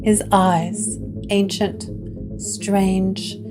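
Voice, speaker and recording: A woman narrating a gothic audio drama from a script, in a deliberate storytelling manner. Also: medium pitch, flat delivery, poor audio. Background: music.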